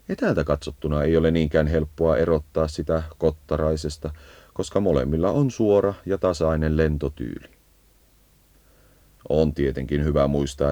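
A man reading scripted educational nature narration in a measured, explanatory way, as a guide to birds. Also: very deep voice, dark tone, dry recording.

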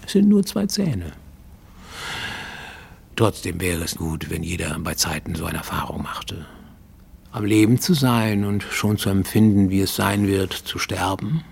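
An actor playing a fugitive healer and visionary dreamer in a radio play monologue, speaking intimately and deliberately. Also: male voice, low voice, lively intonation, gravelly, darkish tone, breathy edge, heavily acted. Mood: soothing, eerie, then reflective, persuasive. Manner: intimate, deliberate, addressing a listener, dropping to whispers. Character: fugitive Japanese man in Mexico, makeshift healer and tooth-puller, visionary dreamer. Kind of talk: German radio drama monologue.

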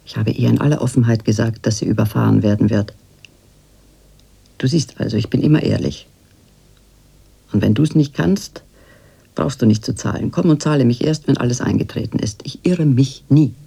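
An actress playing a worldly older fortune-teller in a radio drama, cooing endearments and making theatrical, oracular pronouncements in a breathy, husky voice. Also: female voice, rumbling low, dark tone, exaggerated voice. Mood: brisk, self-assured. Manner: slow, insinuating, oracular, cloying endearments.

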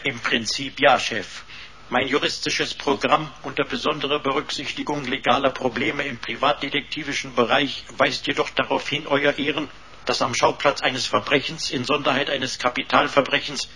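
An actor playing a pompous, servile computer assistant in a sci-fi radio play, speaking in stilted, flowery, over-formal phrases. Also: male voice, mid-range voice, flat delivery, moderate pace, sharp tone, a little hiss or hum, natural voice, rough recording. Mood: pompous, deferential.

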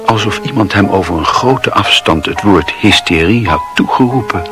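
A man narrating a literary radio drama in a measured storytelling manner. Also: fairly low pitch, lively intonation, lightly acted. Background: music.

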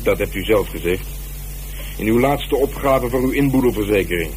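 Low-voiced, dryly confident police detective, played by a man. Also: lively intonation, a little mellow, natural voice. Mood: coolly insistent. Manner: colloquial, measured, dryly ironic. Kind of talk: radio drama dialogue. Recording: noisy radio play recording, heavy background noise.

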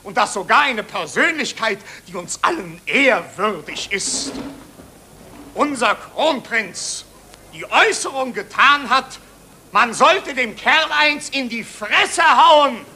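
Ein Schauspieler spielt in einem deutschen Hörspiel der 1940er einen wichtigtuerischen, nationalistischen Psychiater, pompös und theatralisch deklamierend. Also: male voice, very high voice, animated, slow, sharp tone, heavily acted. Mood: officious outrage. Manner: pompous, officious, theatrical oratory. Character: bombastic, nationalist German psychiatrist, self-important official. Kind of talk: 1940s German radio play, declamatory speech. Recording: noisy 1940s radio recording.

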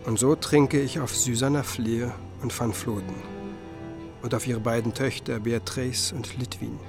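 An actor playing a pensive musicologist who tells his story in a literary radio drama, reflective and measured. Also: mid-range voice, steady tone, gravelly, music in the background. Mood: wistful toast. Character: pensive male musicologist, wistful first-person storyteller.

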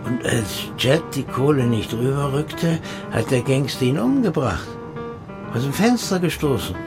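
An actor playing a brash friend who talks bluntly and coarsely, often mocking. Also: male voice, medium pitch, big pitch swings, unhurried, over music. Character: brash male friend, blunt and rough-talking. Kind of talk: audio drama acting.

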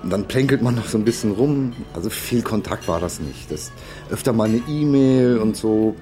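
Verlegener Mann, tief und lebhaft schwankend gespielt. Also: male voice, fairly low pitch, lively intonation, moderate pace, mellow, faint music behind, close to an everyday voice. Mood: hesitant, evasive. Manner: hesitant, awkward, evasive. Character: awkward, evasive man snooping on a date. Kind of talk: radio crime drama dialogue.

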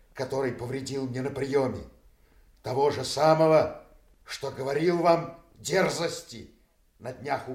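An actor playing a loyal, blunt nobleman in a classical audio tragedy, with a measured, declamatory delivery. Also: mid-range voice, a little mellow, natural voice. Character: loyal, blunt nobleman Kent, put in the stocks. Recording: echoey roomy studio recording.

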